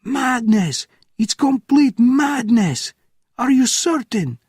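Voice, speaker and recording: A man voicing a panicked male border-gate officer who screams high and frantic. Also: a little slow, husky, no room sound, clean studio sound. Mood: frantic, screaming.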